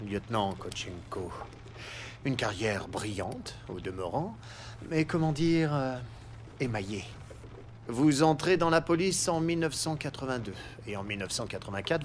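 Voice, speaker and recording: An actor playing a needling internal affairs investigator in a police audio drama, falsely polite and insinuating, with deliberate hesitations. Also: male voice, medium pitch, slight background noise, close to an everyday voice.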